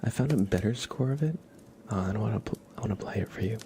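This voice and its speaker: A man speaking softly and at times near a whisper, hosting a casual, unhurried ASMR livestream. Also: on the low side, lively intonation, noisy background. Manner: soft, hushed, partly whispered, unhurried. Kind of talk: soft-spoken ASMR livestream chat. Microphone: sensitive streaming microphone with monitoring.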